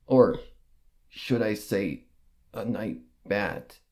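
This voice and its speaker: A man reading a first-person story aloud with dramatic, halting delivery. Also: on the low side, animated, darkish tone. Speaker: fan fiction reader. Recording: music behind the voice. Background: background music.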